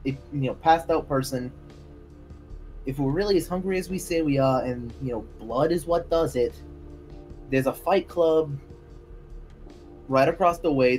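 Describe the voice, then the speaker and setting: A man talking casually and a bit haltingly as a player in a tabletop roleplay game. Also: a little high, a little mellow. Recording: faint music behind. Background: music.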